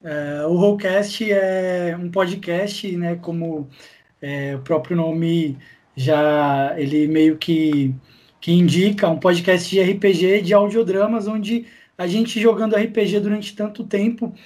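A man's voice: high-pitched, fairly even intonation, takes it slowly, a little mellow. A Brazilian man speaking as a podcast guest in casual, conversational style. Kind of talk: casual chat.

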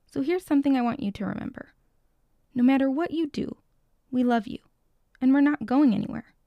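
A big, kindly storybook papa elephant who loves a joke, read by a woman in her own fairly high, creaky voice. He is patient and loving, with a gentle joking manner. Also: a little fast, dry recording, highs cut off. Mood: warm, reassuring. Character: big, patient, jokey, loving male elephant foster father.

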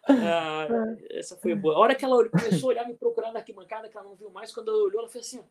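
A middle-aged Brazilian man with a Brazilian Portuguese accent, a guest coach telling animated anecdotes in a casual interview and often checking that the listener follows. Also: high-pitched, very fast, crowd noise. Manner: animated anecdotes, frequent "entendeu?", chuckles.

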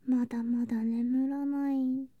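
A woman playing an affectionate, clingy younger girl cousin in an intimate bedtime ASMR drama, speaking softly and breathily, often in whispers, drowsy and coaxing. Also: sits high, little pitch movement, normal speed, dry recording, highs cut off. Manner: soft, drowsy, coaxing, sweetly childlike.

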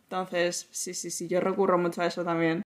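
A woman novelist from Madrid, speaking as a podcast guest and answering thoughtfully with frequent pauses and restarts. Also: on the low side, flat delivery. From Spain, Madrid. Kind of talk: podcast interview.

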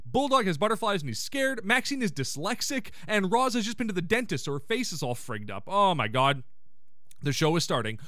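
A man hosting a podcast, giving a casual, slangy comedic recap in an excitable way and acting out quotes. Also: sits high, a little fast, no room sound, studio quality. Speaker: podcast host.

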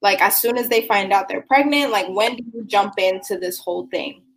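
Woman, live stream host, casual talk, lots of "like" fillers, mid pitch. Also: moderate pace, gravelly, a crowd in the background. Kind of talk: casual interview conversation.

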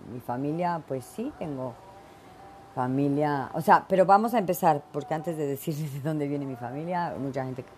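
A woman's voice: a bass voice, dark tone, slight background noise. A middle-aged woman from Veracruz, hosting her own show and giving blunt, emphatic opinions in a solo monologue full of fillers. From Mexico (Veracruz). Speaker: podcast host.

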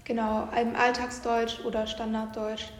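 Woman, higher flat voice, lecturing tone, echoey room with faint music. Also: unhurried. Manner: explains matter-of-factly, lecturing tone. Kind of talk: explanatory discussion.

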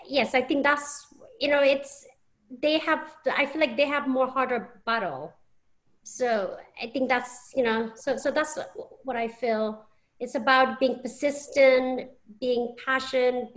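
A woman's voice: on the high side, poor audio. A young English woman, a podcast guest, talking casually with many restarts and fillers. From England.

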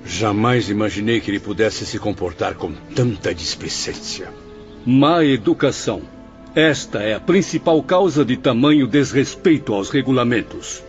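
A man acting as an earnest spirit patient in a radio play, formal and emphatic. His pitch swings widely, in a slightly dull recording with music behind. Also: expressive, moderate pace, lightly acted. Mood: indignant. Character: earnest, indignant male spirit patient in infirmary.

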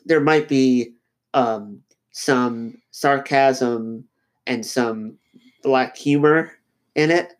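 Male podcast co-host with a cold, slightly nasal; reflective, halting, full of ums and restarts. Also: mid-range voice. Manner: halting, many fillers and restarts; reflective, thinking aloud. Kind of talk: casual podcast discussion.

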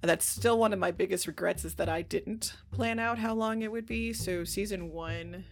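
A woman's voice: mid-range voice, normal speed, faint music behind. A woman speaking casually and candidly as an interview guest, with a self-deprecating manner. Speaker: interview guest, audio drama writer and producer.

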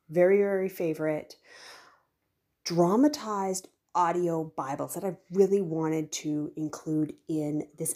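Low, husky-voiced woman podcast host. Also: mellow. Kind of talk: solo talk to listeners.

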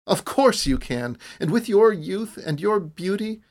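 A man voicing a bitter, easily provoked young man in a storybook, forceful and emphatic. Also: high voice, lively intonation, unhurried, pitched above the performer's own voice. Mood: admiring, excited.

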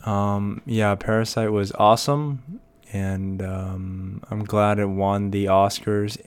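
A man's voice: fairly low pitch, fairly even intonation, slow pace, smooth. A young American man hosting a casual solo podcast, rambling with many fillers and restarts. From United States. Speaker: podcast host.

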